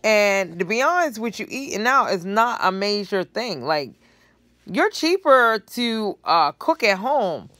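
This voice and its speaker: A middle-aged woman talks straight into a phone held close on her couch, her voice dry and near. Her tone is relaxed and conversational, and she works through the numbers out loud as she goes. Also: medium pitch, measured pace, no echo. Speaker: vlogger commenting on news clips. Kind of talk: casual commentary to camera. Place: living room couch indoors. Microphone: phone held close at low angle.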